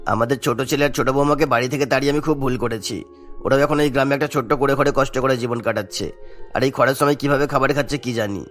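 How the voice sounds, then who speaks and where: Man acting a fast-talking kindly village headman. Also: mid-range voice, steady tone, a bit bright, faint music behind, close to an everyday voice. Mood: troubled, earnest. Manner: earnest, caring. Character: kindly, troubled old village headman and father. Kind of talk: animated moral-tale dialogue.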